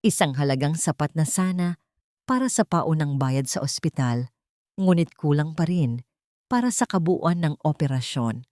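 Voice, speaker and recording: A woman's voice: deep voice, expressive, moderate pace, warm tone, dry recording, studio quality. A woman with a Filipino accent reading a dramatic scripted story as an audiobook narrator.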